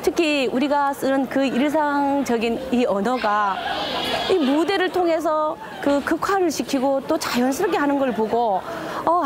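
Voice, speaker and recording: High-voiced organizer with street noise. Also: female voice. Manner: hesitant, with frequent "uh" fillers. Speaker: contest organizer. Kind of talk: interview. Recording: outdoor noise behind her.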